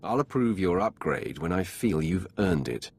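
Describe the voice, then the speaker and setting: An actor playing a commanding, sardonic dark lord in a video game, wry and imperious toward those beneath him. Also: male voice, deep voice, animated, husky, full character voice. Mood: wry, approving. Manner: wry, imperious, measured authority. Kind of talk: video game character acting.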